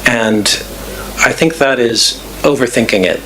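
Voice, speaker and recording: A man giving thoughtful, measured answers at a talk's question session, pausing with fillers and restarts. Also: medium pitch, sharp tone, poor audio. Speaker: guest speaker. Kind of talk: lecture Q&A answers. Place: club event room.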